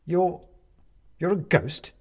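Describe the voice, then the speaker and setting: Man acting a bewildered, stammering, husky newcomer. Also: a little high, lively intonation, slow, darkish tone, pitched above the performer's own voice, heavily acted. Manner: stammering, pleading. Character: bewildered, frightened newcomer man named Benedict. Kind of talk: storybook character voice.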